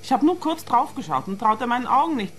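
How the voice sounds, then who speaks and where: A distraught young flatmate speaks in a halting, shaken way, her fairly high voice swinging widely in pitch. She stumbles over her words when she is frightened. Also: faint music behind. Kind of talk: radio play acting.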